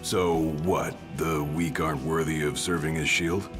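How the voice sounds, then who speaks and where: Brash bodyguard, extremely deep voice. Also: male voice, steady tone, a little slow. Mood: challenging, steady. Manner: blunt, cocky, slangy tough talk. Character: gruff, brash, muscular young male royal bodyguard. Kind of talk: video game cutscene acting. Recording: dramatic game music behind.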